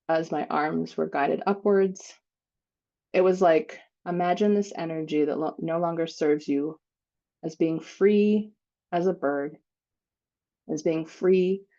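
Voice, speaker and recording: Female meditation guide, very slow delivery, slightly low register, dull lo-fi recording. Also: a little mellow. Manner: slow, unhurried phrasing with long pauses. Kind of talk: guided meditation, spiritual visualization.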